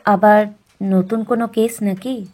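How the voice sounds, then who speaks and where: A woman playing a curious, gently chiding, homely sister-in-law in an audio drama. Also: mid-range voice, steady tone, measured pace, close to an everyday voice. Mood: curious, concerned. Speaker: actor in an audio detective story. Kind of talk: Bengali audio drama acting.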